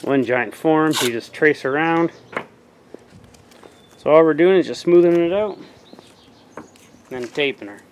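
A man's voice: a little high, a little slow, long pauses, a little hiss or hum. A young man from Canada with a stuffy cold voice, hosting a casual do-it-yourself vlog and explaining each step to the camera from an outdoor driveway. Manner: chatty, explains steps to the camera. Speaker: fishing channel host. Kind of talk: casual DIY how-to vlog. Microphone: camera mic, talking to the lens up close.

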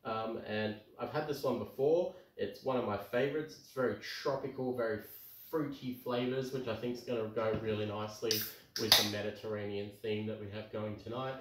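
Australian man, 30s, croaky, chatty home cook, recorded from across a roomy kitchen. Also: fairly low pitch, fairly even intonation, brisk, background noise. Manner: chatty, upbeat, lots of ums. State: croaky from tonsillitis. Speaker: home cooking show host. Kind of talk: casual cooking demonstration. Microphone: camera across the kitchen. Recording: roomy kitchen sound, far from mic.

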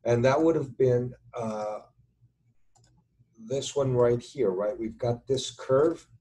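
A middle-aged man with a relaxed, mellow voice explains technical material like an instructor. He goes slowly and haltingly, thinking aloud as he works at his computer, with some keyboard typing around his words. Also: medium pitch. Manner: halting, deliberate, thinking aloud while writing. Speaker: structural engineering instructor. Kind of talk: informal tutorial explanation over screen share. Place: indoors at a computer, webcam.

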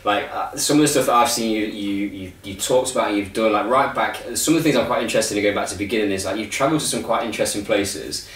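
A man in his thirties hosting a casual interview, talking in a rambling, halting, conversational way. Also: fairly low pitch, fairly bright tone. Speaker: interviewer, podcast host. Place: small indoor room at a table. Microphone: shared desk microphone. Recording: echoey room, distant mic, faint noise.

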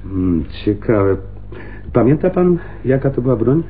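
An actor playing a probing homicide lieutenant in a radio crime drama, with drawling, conversational interjections. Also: male voice, mid-range voice, big pitch swings, a little slow. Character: wry, probing male police homicide lieutenant. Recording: muffled, band-limited radio recording.

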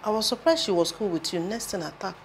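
A woman plays an anxious, moneyed mother in a film drama. Her mid-pitched voice is hesitant and repetitive, evasive yet pressing, with faint noise behind her. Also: fast talker, lightly acted. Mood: bewildered.

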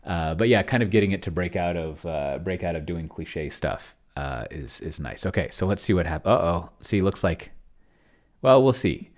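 A middle-aged man giving casual think-aloud commentary at his computer in a halting, murmuring way, close to a desk microphone. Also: deep voice, expressive, darkish tone. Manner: halting, murmuring to himself while typing and reading. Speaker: host, solo roleplaying player. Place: small room at home, indoors. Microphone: desk microphone close to the mouth.

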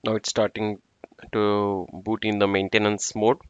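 A man with a low, smooth voice talks through a firewall-style tech walkthrough step by step, with small fillers between steps. The sound is dry and close, with a dull, slightly lo-fi tone. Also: completely flat delivery, unhurried, dry recording. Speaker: network engineer instructor. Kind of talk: screen-recorded tech tutorial. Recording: dull dry lo-fi screen recording.

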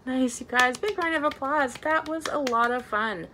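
High-voiced woman leading a children's storytime. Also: moderate pace, noisy background. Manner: animated, simple exaggerated phrasing for small children. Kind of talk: children's storytime with action rhymes, addressing young children. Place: small plain indoor room.

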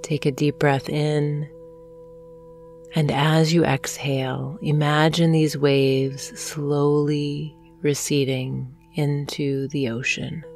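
A woman narrating a guided hypnosis meditation in a soothing, gentle manner, leaving long pauses between phrases. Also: deep voice, level tone. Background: soft meditation music with singing bowls.

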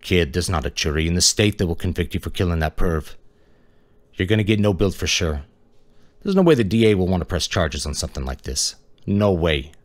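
A man voicing a large male detective who speaks deep, calm and unhurried. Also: dry recording. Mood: reassuring.

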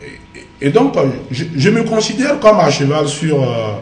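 Lively Ivorian man in roomy studio. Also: fairly high pitch, normal speed. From Ivory Coast. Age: 40s. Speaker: interview guest, writer. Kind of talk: studio interview conversation. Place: small indoor TV studio. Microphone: shared desk microphone. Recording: roomy, echoey studio sound.

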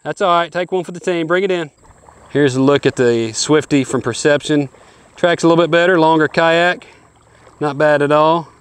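A man's voice: on the high side, moderate pace, smooth. A man in his forties hosting a hunting show, giving an upbeat, folksy walkthrough of kayaks outdoors by a lake. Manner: upbeat, folksy salesman-like patter. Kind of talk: product review walkthrough. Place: outdoors on a lakeshore.

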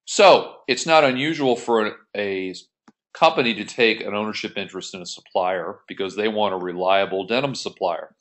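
Low-pitched man explaining as an instructor. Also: normal speed, a bit bright. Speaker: accounting instructor. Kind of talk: explanatory screen-share tutorial.

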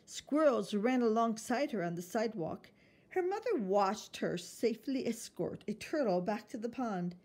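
A woman with a fairly high voice reads a children's picture book aloud. Her narration is expressive and shaped for young listeners. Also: moderate pace.

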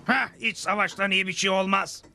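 Vain cartoon president, high voice, pompous, dim, loud music underneath. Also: male voice, fairly even intonation, normal speed, creaky. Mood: self-satisfied. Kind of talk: dubbed TV cartoon acting.

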